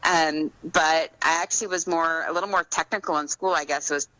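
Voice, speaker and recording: Female author guest, low-pitched with a bright edge, conversational. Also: steady tone, brisk, dry recording, poor audio. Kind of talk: casual interview chat.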